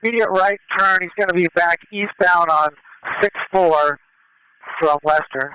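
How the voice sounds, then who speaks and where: A man calling a police pursuit over the radio, directing units in a measured, matter-of-fact way. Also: high voice, bright, forceful tone, smooth, no room sound, poor audio. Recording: live police scanner radio transmission.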